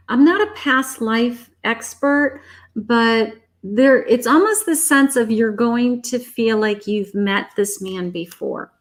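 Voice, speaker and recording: A woman doing a live tarot reading, chatty and reassuring, calling viewers honey and sweetheart, her voice starting to wear out. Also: a little high. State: losing her voice. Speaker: psychic tarot reader.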